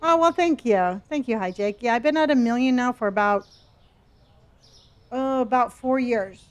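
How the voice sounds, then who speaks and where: A woman's voice: a little high, moderate pace, clear, resonant tone. A woman in her early sixties chatting casually with her live-stream viewers while playing a game, reading their messages aloud and often trailing off and restarting. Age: 60s.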